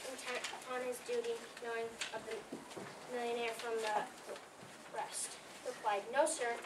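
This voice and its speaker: A woman's voice: a little high, little pitch movement, moderate pace, far from the mic. A woman giving a scripted dramatic reading in a readers theater. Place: library readers theater performance, indoors. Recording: echoey room from afar, noisy.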